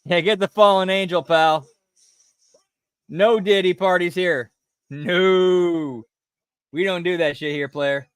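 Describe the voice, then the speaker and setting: A high-pitched man with a smooth, clean voice keeps up loose, easygoing chatter over a live stream. He often ends his lines with "pal." The sound is close-miked and dry, with no room sound. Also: steady tone, slow, long pauses, dry recording. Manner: laid-back, tacks "pal" onto his remarks. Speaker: host of a wrestling watch-along stream. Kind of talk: casual live commentary over a broadcast.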